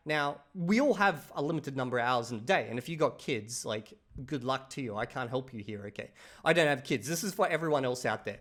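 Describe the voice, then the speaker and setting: A man, talking casually to camera about his routine, swearing freely and saying "bro" and "okay?" often. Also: fairly high pitch. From Australia. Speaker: language-learning YouTuber.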